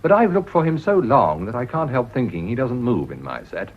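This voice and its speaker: A man's voice: mid-range voice, big pitch swings, brisk, slight background noise, natural voice. An actor with an English accent playing a ruthless, cynical Edwardian businessman in a radio drama, blunt and sardonic. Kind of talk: period radio drama acting. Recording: dull, muffled old radio recording.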